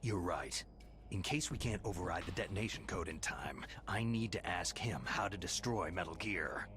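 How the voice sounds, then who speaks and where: An actor playing a gruff, world-weary special forces soldier in a video game, terse and commanding, with a gravelly, breathy growl. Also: male voice, deep voice, normal speed, noisy background, natural voice. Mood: guarded. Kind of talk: dramatic video game voice acting. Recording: game audio under background music.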